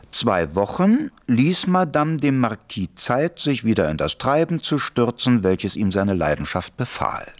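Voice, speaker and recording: An actor narrating a radio play as a wry, urbane storyteller with ironic, literary phrasing. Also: male voice, fairly low pitch, heavily acted. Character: wry, urbane storyteller of an old French tale.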